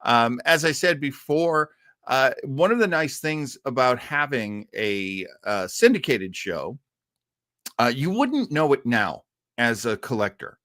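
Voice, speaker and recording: An American man hosting an informal talk on radio history, conversational, halting and explanatory. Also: mid-range voice, sing-song, sharp tone, dry recording, studio quality. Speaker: host.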